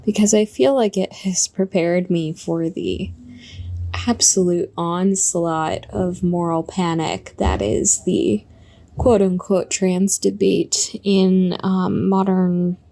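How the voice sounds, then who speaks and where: Slow, gravelly woman, thoughtful. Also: fairly low pitch, fairly even intonation, warm tone. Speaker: listener sending a message.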